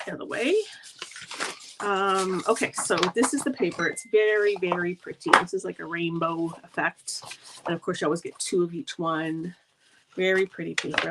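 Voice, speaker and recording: A middle-aged woman talks her way through a live card-making session at her craft table, nasal and congested from a cold. She rambles in a friendly way and gushes over the paper. A faint hiss sits under the voice. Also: medium pitch. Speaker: stamping demonstrator hosting a live craft video. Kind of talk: chatty live craft demo.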